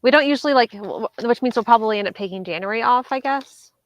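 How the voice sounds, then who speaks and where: A woman co-hosting a book club discussion over a video call, agreeable and casual, planning the next reads out loud. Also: on the high side, quick pace, no echo. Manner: agreeable, short replies, plans aloud.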